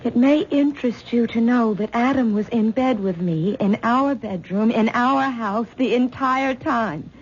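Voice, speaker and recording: A woman's voice: a little high, measured pace, few pauses, lightly acted. A woman playing a stammering, frightened young wife. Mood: anxious. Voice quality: stammering, nervous. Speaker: troubled wife. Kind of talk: 1970s radio drama acting. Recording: dull old radio broadcast with faint hiss.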